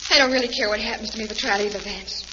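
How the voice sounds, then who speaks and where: A woman's voice: fairly high pitch, rapid-fire, lightly acted. A woman playing a glamorous, fragile young prisoner in an old-time radio melodrama, forlorn and hesitant. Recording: muffled, noisy old radio, long reverb.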